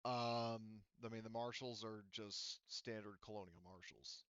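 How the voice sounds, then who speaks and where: Guy game mastering, smooth low voice, kinda rambly n halting, close dry mic. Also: fairly even intonation, a bit bright, no echo, muffled recording. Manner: halting, rambling delivery full of hedged explanations. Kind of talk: casual tabletop roleplaying narration and explanation.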